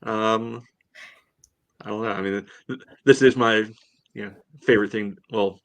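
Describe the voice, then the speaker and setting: A man co-hosting a sports podcast, chatting casually in a halting way with lots of filler phrases. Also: fairly low pitch, fairly even intonation, no echo. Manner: halting, peppered with "you know".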